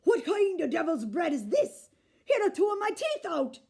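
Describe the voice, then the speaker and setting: A woman voicing a gluttonous, blustering bully of a giant man who bellows and shouts. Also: high-pitched, expressive, a little slow, creaky, voice pushed up, full character voice. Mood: bellowing.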